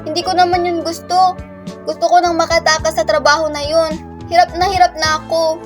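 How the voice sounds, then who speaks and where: A woman's voice: very high voice, flat delivery, a little fast, music in the background. A woman playing a fearful but hopeful young student in an amateur radio drama. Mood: distressed.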